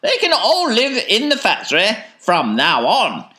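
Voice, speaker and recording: A woman voicing an eccentric, excitable old male candy maker in a storybook reading, talking in bright, animated exclamations. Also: mid-range voice, unhurried, heavily acted. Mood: excited. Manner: exclamatory, bubbling with enthusiasm. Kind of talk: storybook read-aloud.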